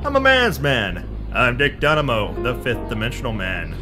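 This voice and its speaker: A man's voice: medium pitch, huge pitch swings, normal speed, long reverb tail. A man playing a boastful macho adventurer hero in a theatrical, hard-boiled pulp radio serial style. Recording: music behind the voice.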